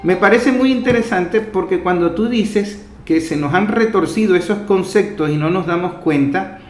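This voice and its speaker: A man with a fairly high voice and a Venezuelan accent speaks in an echoey room. As the interviewer he talks discursively, building long and earnest reflections on the way to his question. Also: normal speed, soft background music. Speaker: interviewer, host. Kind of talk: discursive political interview talk.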